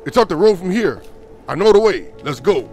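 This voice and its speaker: A man's voice: high-pitched, animated, brisk, darkish tone. A man playing an alarmed survivor bearing urgent news in a comic dub.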